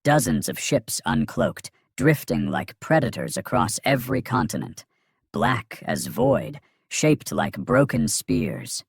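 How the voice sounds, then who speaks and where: A man's voice: mid-range voice, wildly swooping pitch, slow, gravelly, breathy edge, dry recording, clean studio sound. A man narrating a dramatic science fiction story in a grave, ominous manner with short, emphatic phrases.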